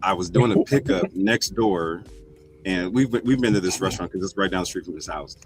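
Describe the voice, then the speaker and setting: Low-voiced casual man hosting a chat. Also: fairly quick, faint music behind. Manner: loose, storytelling, acts out quotes with raised voice. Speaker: podcast host.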